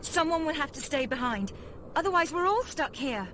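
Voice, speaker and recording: Game role: practical, alert young woman, piercingly high voice, bright tone. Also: sing-song, normal speed, slight background noise, exaggerated voice. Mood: urgent. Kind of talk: video game cutscene acting.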